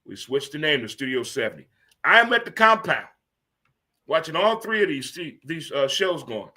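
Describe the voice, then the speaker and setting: A man in his fifties hosting a livestream sports talk show, rambling and animated, teasing himself and asking "huh?". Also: fairly high pitch, moderate pace. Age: 50s. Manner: talks to himself by name. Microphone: streaming studio mic.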